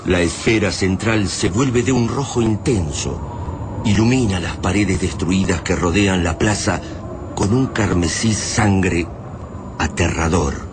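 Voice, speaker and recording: A man with an Argentine accent acting as the grave, deliberate narrator of a science-fiction radio serial. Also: sits low. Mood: grave, ominous. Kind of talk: dramatic scripted radio narration. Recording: clearly noisy background ambience.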